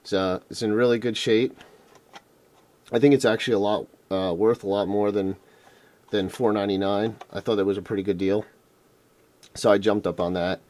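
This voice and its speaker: A man with a lowish voice that is going hoarse, clearing his throat now and then. He rambles in an easygoing, enthusiastic way, full of ums and uhs, like someone showing off his latest finds on camera. He is recorded indoors by a camera mic while his hands work on a table. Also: steady tone, moderate pace, pauses often, darkish tone. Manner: rambling, filler-heavy, enthusiastic. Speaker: retro game collector vlogger. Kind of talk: casual unboxing vlog. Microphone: camera mic off-screen, hands on table.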